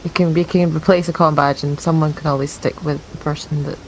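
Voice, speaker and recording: A woman's voice: low voice, steady tone, a little fast, slight background noise, low-quality recording. A woman playing in a tabletop game, talking casually and cautiously with the group. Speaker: player.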